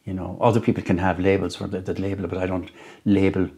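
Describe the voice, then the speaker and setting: An Irish musician and storyteller giving reflective interview answers at home, speaking slowly and thoughtfully, with frequent pauses and restarts. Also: male voice, deep voice, flat delivery, gravelly, dark tone, breathy edge. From Ireland. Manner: slow, thoughtful, halting with many restarts.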